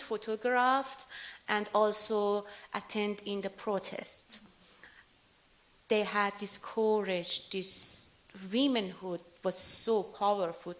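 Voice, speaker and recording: A woman answering questions after a talk on her paintings, speaking in in an earnest, halting and emotional way. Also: mid-range voice, poor audio. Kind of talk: lecture Q&A answer.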